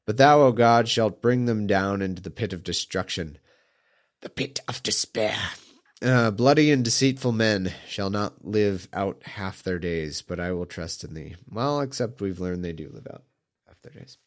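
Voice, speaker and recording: A man with a slightly low, congested voice reads archaic scripture aloud. He keeps undercutting it with dry, irreverent asides and chuckles. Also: normal speed. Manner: irreverent, mocking, chuckles at the text.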